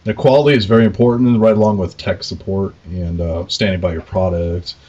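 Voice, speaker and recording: A man's voice: low voice, steady tone, brisk, warm tone, highs cut off. A man interviewing a guest as a podcast host, chatting in a laid-back, informal way. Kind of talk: casual interview chat.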